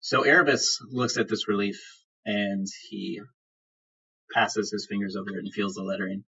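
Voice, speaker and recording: A man's voice: lowish voice, steady tone, normal speed, gravelly, sharp tone, breathy edge. A man running a tabletop game as game master, improvising narration in a conversational, explanatory way. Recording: dull lo-fi podcast audio.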